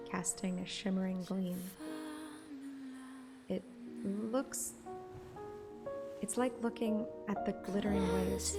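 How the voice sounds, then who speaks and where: A woman's voice: mid-range voice, vocal fry. A woman reading a romantic fantasy story aloud as a narrator in an informal podcast. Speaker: fan audiobook narrator and podcast host. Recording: music playing behind the voice.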